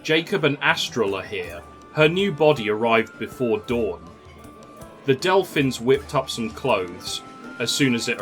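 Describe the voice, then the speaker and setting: A man's voice: mid-range voice, a bit bright. A man reading scripted story narration. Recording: music under the voice.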